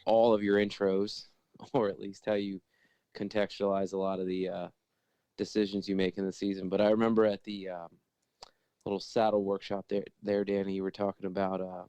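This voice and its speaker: A man calling into a live podcast, chatting casually and haltingly as he works toward his questions. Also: fairly low pitch, dark tone, clear, resonant tone, no room sound. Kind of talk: casual chat.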